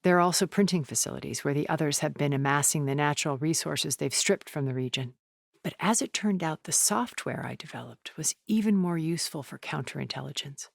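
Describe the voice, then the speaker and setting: An actress playing a poised, cultured, wealthy woman in a video game, speaking in a measured, formal, refined way. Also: rumbling low, creaky, dry recording, clean studio sound, exaggerated voice. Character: poised, cultured, wealthy woman, a thousand years old, sounding middle-aged. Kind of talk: video game character dialogue.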